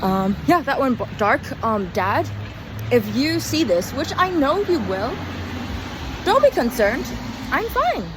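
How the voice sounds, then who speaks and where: Casting: young woman vlogger, higher-pitched and very lively intonation, candid stop-start rambling, recorded outside amid clear street noise. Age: young adult. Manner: halting, self-interrupting, candid. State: physically tired. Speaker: vlogger and acting student. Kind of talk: casual rambling video diary. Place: outdoors on an urban walk. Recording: outdoor street noise, passing cars. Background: traffic and city ambience.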